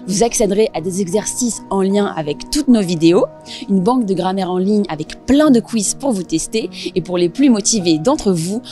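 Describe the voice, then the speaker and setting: A woman's voice: medium pitch, dark tone. A young adult woman, presenting and interviewing passers-by into a handheld microphone. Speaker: street interviewer and host. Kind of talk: presenting and asking questions to passers-by.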